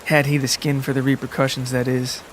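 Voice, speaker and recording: A man narrating a scripted literary story in a measured, deliberate way. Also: mid-range voice, flat delivery, slight background noise. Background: music and rain.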